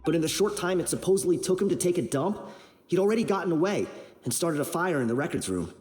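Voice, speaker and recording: An actor playing a disgruntled, bitter desert brigand in a video game, dismissive and excitable. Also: male voice, a little high, fast, lightly acted. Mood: scornful. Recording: faint game music behind the voice.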